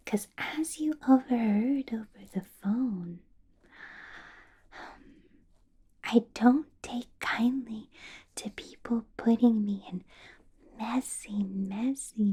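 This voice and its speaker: A woman playing an ancient, possessive vampire boss in an ASMR roleplay, whispering softly with slow, deliberate, teasing menace. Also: medium pitch, heavily acted. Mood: quiet menace. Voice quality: soft breathy whisper. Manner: slow, deliberate, teasing questions with lingering pauses. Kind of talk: ASMR roleplay, personal attention.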